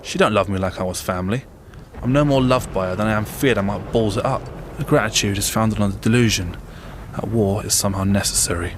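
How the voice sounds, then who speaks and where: A man acts out a plain-spoken, weary sergeant from the Great War trenches in a radio play. His voice sits low, with a London accent and blunt soldierly turns of phrase. Clear outdoor noise surrounds him. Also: brisk, close to an everyday voice. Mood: blunt, cynical. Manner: blunt, world-weary, colloquial. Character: gruff, plain-spoken British army sergeant of World War One. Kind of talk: audio drama acting.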